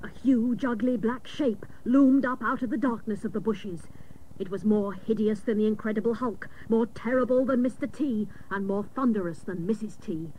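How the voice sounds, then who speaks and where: An actress playing a hapless, literal-minded female private detective, narrating a comic radio serial with mock-dramatic seriousness and deadpan jokes. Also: a little high, moderate pace, lightly acted. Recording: noisy old cassette of radio broadcast.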